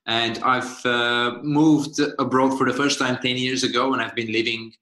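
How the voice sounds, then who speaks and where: A man in his twenties from Bulgaria answers interview questions in a bright voice, earnest and often pausing on "uh" to find his words. His voice rings in a roomy, echoing space. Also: medium pitch, steady tone. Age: 20s. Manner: earnest, hesitant, many "uh" fillers. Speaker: guest, startup founder.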